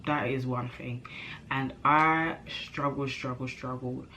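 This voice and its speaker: A young woman vlogging to camera in a chatty, animated way, her nose a bit stuffy from a cold. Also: extremely low pitch, slow, slight background noise, poor audio. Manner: chatty, animated, self-interrupting, slangy. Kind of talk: casual chat to camera.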